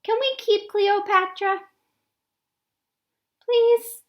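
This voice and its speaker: A woman voicing an earnest, kind-hearted young schoolgirl in a storybook, with expressive delivery. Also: very high-pitched, drawn-out words, pitched above the performer's own voice, heavily acted. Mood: pleading.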